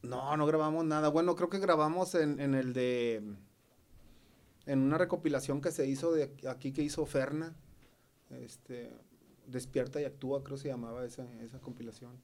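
Middle-aged man with a Mexican accent, mid-pitched, slangy, rambling guest. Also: steady tone, a little mellow. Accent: Mexican Spanish. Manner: slangy, halting with many fillers. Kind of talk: casual podcast chat.

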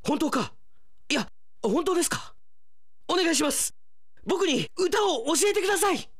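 Man playing a melodramatic, high-pitched young alien elite. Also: animated, normal speed, a bit bright, heavily acted. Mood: eager. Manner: earnest, melodramatic, exclamatory. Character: proud, hot-headed, naive male alien elite investigator. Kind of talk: radio drama acting.

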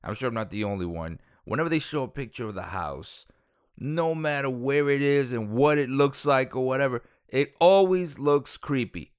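A man's voice: medium pitch, smooth, no room sound, clean studio sound. A man from the Dominican Republic who grew up in Queens, casually telling a true crime story with lots of fillers, restarts and asides. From Dominican Republic, raised in Queens. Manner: rambling, full of fillers and self-corrections, chuckles aside. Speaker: true crime podcast host.

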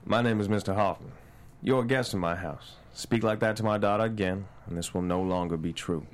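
Actor as stern, low-voiced proud father. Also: a little fast, lightly acted. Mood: stern, warning. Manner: stern, blunt. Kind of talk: acted table read of a drama screenplay.

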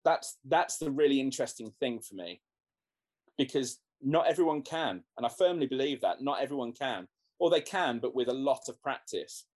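A man's voice: medium pitch, animated, moderate pace, a little mellow. A man, a musician, talking casually in an interview, rambling with many restarts and fillers. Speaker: guest musician.

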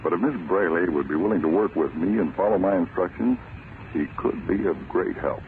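Radio drama: calculating male intelligence chief, low voice, authoritative, thin vintage sound. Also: fairly quick. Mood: businesslike. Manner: businesslike. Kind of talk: old-time radio drama acting. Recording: tinny vintage radio recording, light noise.

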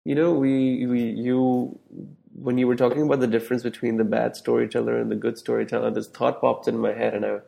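A man's voice: medium pitch, level tone, brisk, gravelly, mellow, poor audio. A man hosting a podcast interview over a remote call, asking questions in a casual, loose way and rephrasing them as he goes. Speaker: podcast host.

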